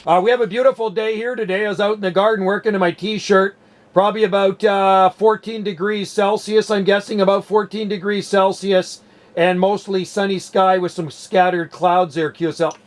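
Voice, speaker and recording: A man's voice: sits high, steady tone, normal speed. A man of about sixty, chatting in a chummy, casual way as an amateur radio operator. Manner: chummy, complimentary. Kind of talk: casual radio chat with call phrases.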